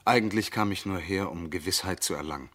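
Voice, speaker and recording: An actor playing a calm, insinuating eyewitness in a crime radio drama, speaking in a measured, deliberate way. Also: male voice, low-pitched. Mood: accusing, controlled. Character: calm, insinuating male eyewitness Gachet confronting a suspect.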